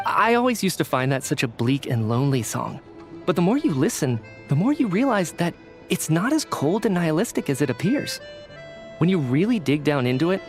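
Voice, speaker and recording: A man voicing an earnest, flustered researcher in a video game, hesitant and prone to stammering. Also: a little high. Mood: admiring. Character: flustered, earnest male researcher, an apologetic theater fan. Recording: music under the voice.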